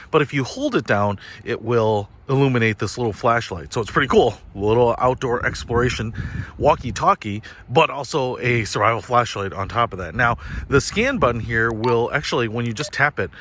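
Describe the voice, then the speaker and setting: A man's voice: medium pitch, a bit bright. A man giving an upbeat, informal product review to camera as the host, with punchy, slangy phrasing.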